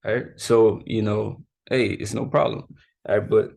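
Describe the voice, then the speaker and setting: Man, low voice, gravelly fry, casual youth teacher, informal, tags "right?" onto points. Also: steady tone, unhurried, a little mellow. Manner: informal, keeps adding "right?" and "you know"; laughs off his own mistakes. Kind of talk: casual spoken lesson.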